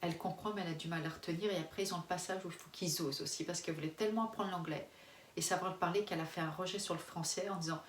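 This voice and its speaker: French woman in her forties, candid rambling vlog chat, low-ish voice, echoey room, set back from the mic, faint background hum. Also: steady tone, rapid-fire. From France. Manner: frank, rambling, many restarts, laughs often. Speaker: expat family vlogger. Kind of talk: casual face-to-camera chat. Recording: roomy, distant mic, faint ambience.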